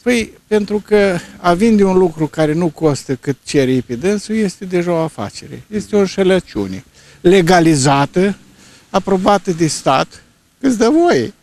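A man's voice: high voice, lively intonation, darkish tone. An elderly man giving terse, blunt opinions in a street interview into a handheld microphone. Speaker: passer-by interviewee. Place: outdoors on a snowy street. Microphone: reporter's handheld microphone.

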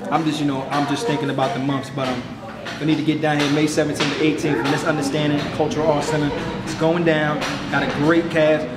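A man's voice: a little high, flat delivery, fast, very noisy. A man giving a casual, off-the-cuff promo as a stage actor in a hall. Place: large hall indoors. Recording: echoey hall, loud crowd chatter.

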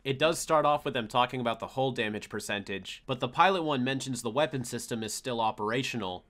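A man's voice: mid-range voice, fairly bright tone. A young adult man giving casual commentary as a video host reviewing a cartoon. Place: small home room, indoors.

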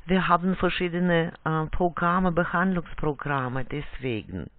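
A telephone sales agent who is not a native German speaker, reciting a formal, scripted pitch in halting, awkwardly phrased sentences. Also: dry recording. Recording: muffled, band-limited phone line.

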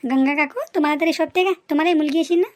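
Fast, high-pitched outspoken young niece played by a woman. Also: a little mellow, no echo, close to an everyday voice. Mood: indignant. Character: outspoken young niece scolding dowry-seeking elders. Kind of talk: cartoon family drama dialogue.

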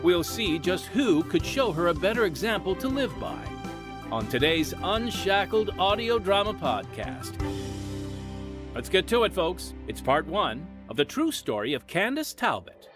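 A man's voice: sits high, very animated, unhurried. Upbeat male podcast host over music. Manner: upbeat, emphatic presenting. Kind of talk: scripted show introduction. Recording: music playing under the voice.

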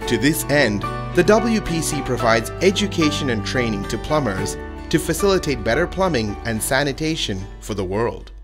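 Male documentary narrator, emphatic scripted delivery, animated pitch, music behind. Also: normal speed.

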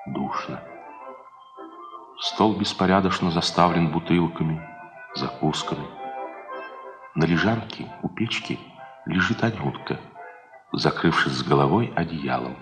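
A man with an extremely deep voice narrates a Soviet radio play. He reads scripted stage description, with music clearly playing behind him.

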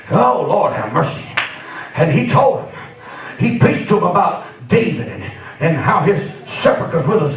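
High-pitched fervent male preacher in echoing church. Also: a bit bright. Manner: fervent, emphatic, punctuated with amens. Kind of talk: Pentecostal sermon. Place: church sanctuary, at and around pulpit. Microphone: handheld microphone. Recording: very echoey church hall, from far off.

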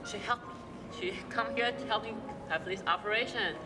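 A teenage girl with a Taiwanese accent playing a grateful, ailing man from abroad in a school stage play, in earnest, halting English. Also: mid-range voice, close to an everyday voice. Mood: warm. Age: teens. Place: large school auditorium stage. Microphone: far stage mics. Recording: echoey hall with music behind.

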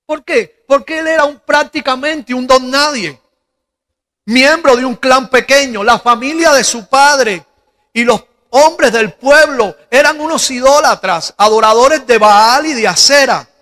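Man, Cuban Spanish accent, middle-aged pastor, very slow deliberate preaching, handheld mic, long reverb in a big church hall. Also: very high voice, bright, forceful tone. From Cuba. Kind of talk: sermon.

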